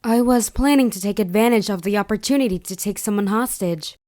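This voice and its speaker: A woman's voice: medium pitch, a little fast, a little mellow, lightly acted. A woman voicing a guarded, blunt young female infiltrator in a fan dub, terse, serious and wary. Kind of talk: anime-style fan dub acting.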